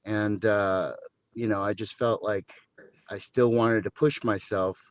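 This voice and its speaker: Fifties man, low voice, dry wisecracking storyteller, dead-dry booth sound. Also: steady tone, a little slow, no echo. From Southern California. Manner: dry joking, rambling storyteller. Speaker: podcast guest. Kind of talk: casual interview talk.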